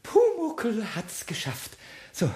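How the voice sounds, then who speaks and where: A man voicing a small, cheeky, childlike kobold in a children's radio play, squeaky and excitable, muttering to himself in rhymes. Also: slow, heavily acted. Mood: playful effort.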